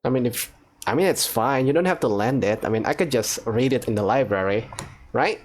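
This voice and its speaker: Very low-voiced woman doing game commentary. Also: lively intonation, a little fast, a little mellow, slight background noise. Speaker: gaming channel host. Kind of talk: casual let's play commentary. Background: game music.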